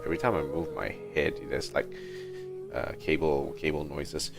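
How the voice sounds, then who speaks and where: Very deep-voiced male game streamer. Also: fairly even intonation, moderate pace, loud music behind the voice. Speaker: livestream host. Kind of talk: casual gaming livestream chat.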